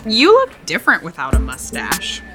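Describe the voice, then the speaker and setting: A woman's voice: lowish voice, huge pitch swings, normal speed, over music. An actress playing a brash, flippant young woman, a hired thief, in an audio drama with a sarcastic, casual manner. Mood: flippant, evasive. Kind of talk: naturalistic audio drama dialogue.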